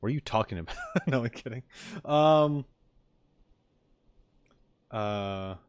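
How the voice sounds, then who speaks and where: A man's voice: medium pitch, lively intonation, slow pace, clear, resonant tone, no room sound, clean studio sound. An American man chatting casually on a reaction stream, rambling and joking as he goes. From United States.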